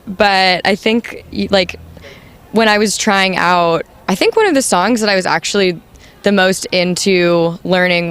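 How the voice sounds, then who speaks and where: A young adult woman with a high voice, the band's new singer, answering interview questions casually with many "like"s and "um"s. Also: a bit bright, clear, resonant tone. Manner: chatty, full of "like" and "um".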